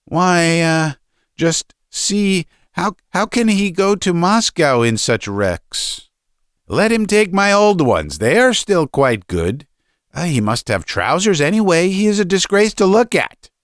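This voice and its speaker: Man doing a high-pitched, fretful middle-aged mother. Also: very animated, slow pace, fairly bright tone, clear, resonant tone, dry recording, studio quality, heavily acted. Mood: pleading, fretful. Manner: stammering, fretful pleading. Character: timid, tactless middle-aged mother, long-suffering wife.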